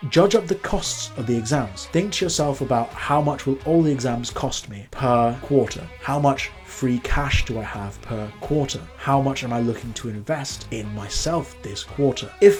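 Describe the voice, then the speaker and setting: A man's voice: medium pitch, darkish tone. A young man originally from Nepal gives earnest career advice in a vlog, posing questions straight to the viewer. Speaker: accountant giving career advice. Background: faint background music.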